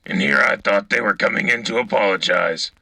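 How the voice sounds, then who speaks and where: Man playing a gravelly, forceful, sardonic soldier radio host. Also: fairly low pitch, a little fast, no room sound, exaggerated voice. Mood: sardonic, grim. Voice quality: gravelly, husky. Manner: sardonic, blunt. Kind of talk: in-character military radio commentary.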